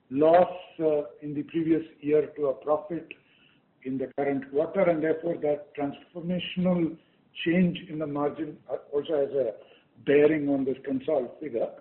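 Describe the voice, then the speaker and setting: A man explains business results as a company executive. His voice sits a little high and sounds narrow, as if down a telephone line. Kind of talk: explaining results on an earnings call.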